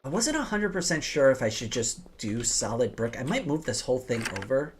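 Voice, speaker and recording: A woman with a rough, slightly hoarse and rattly voice, chatting casually as she hosts a game livestream and talks through her plans. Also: rumbling low, big pitch swings, fairly quick, darkish tone. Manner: chatty, explains plans aloud while playing. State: hoarse from days of coughing. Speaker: livestream host. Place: home office. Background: music.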